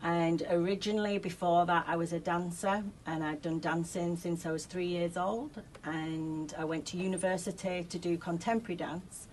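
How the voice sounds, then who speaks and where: A woman's voice: fairly low pitch, steady tone, normal speed, few pauses, a little mellow, a little hiss or hum, low-quality recording. A woman with a strong Yorkshire accent answering interview questions in an upbeat, chatty way. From Yorkshire, England. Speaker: interview guest, co-host.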